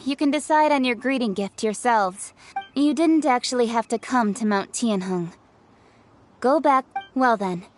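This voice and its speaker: A woman's voice: on the high side, measured pace, a little hiss or hum, lightly acted. A woman playing a proud, forthright young female official in a video game, speaking formally and assertively. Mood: brisk, conceding. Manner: formal, assertive, crisp phrasing.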